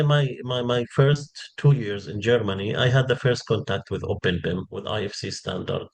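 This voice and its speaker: A man in his forties with a Syrian Arabic accent, explaining as an interview guest and repeating words as he searches for phrasing. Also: lowish voice. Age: 40s. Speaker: interview guest, engineering expert.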